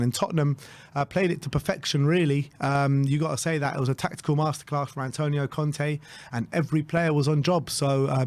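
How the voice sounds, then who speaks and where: A man with a hoarse voice, leading a casual football chat as co-host with enthusiasm. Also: medium pitch. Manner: enthusiastic, leads the segments.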